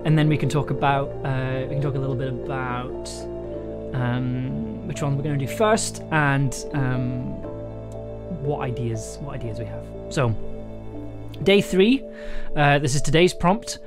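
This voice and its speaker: A live streamer and audio drama writer chatting casually with viewers and reading writing prompts aloud, full of fillers and restarts and quick to laugh. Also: mid-range voice, over loud music. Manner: hesitant, many fillers and restarts, laughs easily.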